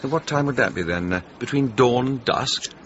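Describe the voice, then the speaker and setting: An actor playing a buttoned-up English gentleman in a radio drama, proper, slightly pompous and hesitant. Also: mid-range voice, animated, normal speed, natural voice. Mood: dry, amiable. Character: fussy, buttoned-up English gentleman neighbour, awkwardly fond. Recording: slightly dull, clearly noisy background.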